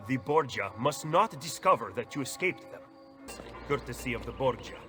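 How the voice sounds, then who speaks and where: A man plays a haughty schemer in a video game. His medium-pitched voice swoops widely, and music plays clearly behind it. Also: animated, normal speed, close to an everyday voice. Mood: cool, urgent. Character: cool, haughty Italian male political schemer.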